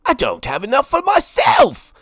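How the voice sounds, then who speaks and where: A man's voice: very high-pitched, huge pitch swings, moderate pace, creaky, a bit bright, dry recording, studio quality, pitched above the performer's own voice, exaggerated voice. A man voicing a greedy, grumpy, haughty lord in a children's storybook, brusque and blustering. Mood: indignant. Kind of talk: children's storybook read-aloud.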